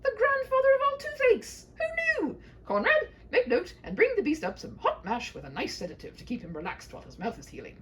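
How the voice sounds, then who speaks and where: A woman's voice: extremely high pitch, wildly swooping pitch, a little fast, hardly any pauses, fairly bright tone, a little hiss or hum, voice pushed up, exaggerated voice. A woman voicing a huffy, absent-minded but doting old king and father in a humorous fairy-tale reading, effusive and blustering. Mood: hearty, effusive.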